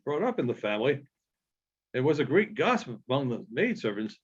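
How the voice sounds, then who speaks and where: A man reading literary narration aloud as an audiobook reader, in a measured storytelling manner with halting phrasing. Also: mid-range voice, big pitch swings, slightly rough, muffled recording.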